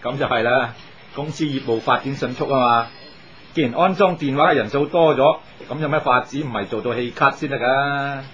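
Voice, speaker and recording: A man's voice: medium pitch, fairly quick, a bit bright, natural voice. An actor playing a curious, practical male workmate giving advice in a 1980s Hong Kong Cantonese radio drama. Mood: curious, teasing. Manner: inquisitive, advising. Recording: slightly dull, noisy old radio recording.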